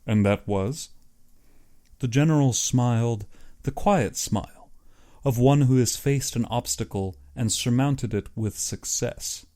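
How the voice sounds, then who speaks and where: A man narrates an audiobook from a script. His voice is mellow and relaxed, and he takes his time through each phrase of the story. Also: mid-range voice, measured pace.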